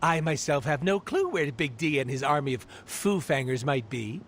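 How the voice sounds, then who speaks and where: A man's voice: fairly high pitch, sing-song, normal speed, a bit bright, a little hiss or hum. A man voicing a flippant, irreverent mercenary superhero in a video game, rambling theatrically and breaking the fourth wall. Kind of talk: video game cutscene acting.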